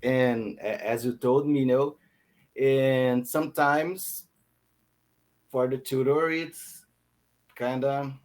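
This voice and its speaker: Slow, hesitant Brazilian man learning English. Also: mid-range voice, fairly even intonation, darkish tone. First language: Portuguese. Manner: hesitant, searching for words, many "um"s. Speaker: English student. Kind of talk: casual conversation lesson. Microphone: video call.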